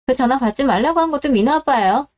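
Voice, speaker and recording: A scheming daughter-in-law in her thirties talks with mocking condescension under her politeness, voiced by a woman for a radio drama. Her voice sits high. She sounds sure of herself and openly scornful. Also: animated, fast, darkish tone. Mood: smug, mocking.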